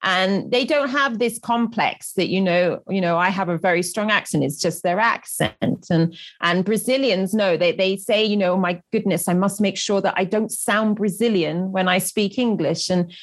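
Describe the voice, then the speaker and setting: A woman English teacher reflects in casual conversation, restarting phrases and slowing on key points. Her mid-pitched voice is close and completely dry, with no room sound. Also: no echo. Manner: thoughtful, many restarts, slows on key points.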